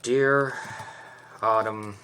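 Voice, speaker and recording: A man playing a grieving, awkward teenage boy, halting and repeating himself in a drama monologue. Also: on the low side, steady tone, pushed and bright, a little hiss or hum, exaggerated voice. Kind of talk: one-act play letter monologue.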